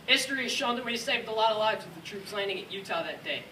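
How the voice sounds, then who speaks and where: A man plays a dutiful American paratrooper telling of combat in a war drama. The delivery is declamatory, with a high, piercing tone. The voice is picked up from far off, with faint noise behind it. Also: expressive, fast, pushed and bright, reverberant. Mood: sober, matter-of-fact. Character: male American WWII paratrooper, dutiful veteran recounting combat. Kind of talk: rehearsed dramatic war narration.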